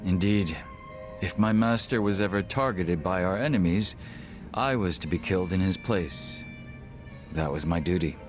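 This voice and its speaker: A male actor plays a suave gangster second-in-command with a deep voice. He is guarded and polite, with formal, measured phrasing and a dry wit, in a video game drama with music behind him. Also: lightly acted. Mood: composed, wry. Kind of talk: English video game voice acting.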